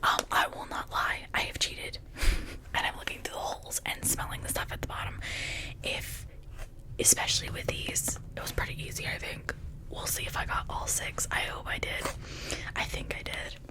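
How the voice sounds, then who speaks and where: A woman speaking in a breathy whisper, rambling informally in a chatty ASMR style. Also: normal speed, rough recording. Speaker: ASMR creator showing and unboxing toys.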